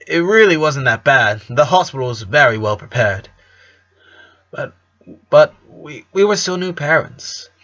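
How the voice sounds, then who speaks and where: A man voicing a warm, joking middle-aged father who tells family stories in an earnest, fond way, in a cartoon fan fiction reading. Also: fairly high pitch, expressive, measured pace, highs cut off. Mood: tender, nostalgic.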